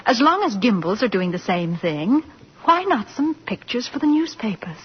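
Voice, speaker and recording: A woman playing a brisk, eager career woman in 1940s radio style, polite in her delivery. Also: on the high side, very animated. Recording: muffled, noisy vintage 1940s radio broadcast.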